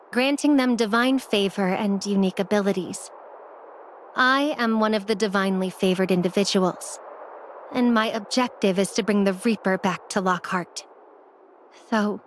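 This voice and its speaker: A woman voicing an earnest, devout young emissary in an anime game, with a dramatic, expressive delivery. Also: medium pitch, a little hiss or hum, close to an everyday voice.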